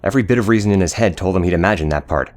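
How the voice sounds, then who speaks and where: A man's voice: low voice, rapid-fire, darkish tone. A man reading scripted, dramatic audiobook narration.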